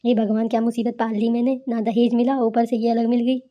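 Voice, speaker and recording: A woman's voice: a little high, level tone, a little fast, lo-fi sound, a faint crowd, lightly acted. A woman voicing a harried young village husband in a cartoon, beseeching and exasperated. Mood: pleading. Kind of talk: cartoon character dialogue.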